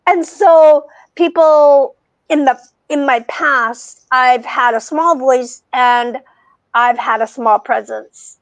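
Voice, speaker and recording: A middle-aged woman hosting a podcast, chatting in an animated way with frequent restarts, on a video call with wired earbuds. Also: sits high, slow, no echo, poor audio. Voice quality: soft voice. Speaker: podcast host. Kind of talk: casual conversation. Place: home room, video call. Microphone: wired earbuds headset.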